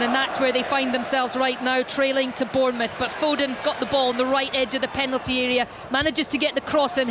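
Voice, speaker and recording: A man giving live play-by-play football commentary, quick and rising in excitement as attacks build. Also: very high voice. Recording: loud stadium crowd and noise. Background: loud stadium crowd.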